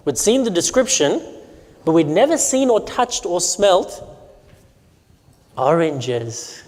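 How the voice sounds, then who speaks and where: A man's voice: fairly high pitch, darkish tone. A man from Romania, a pastor, giving a sermon presentation, telling stories and asking the audience questions. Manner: storytelling, asks the audience questions. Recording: long hall reverb.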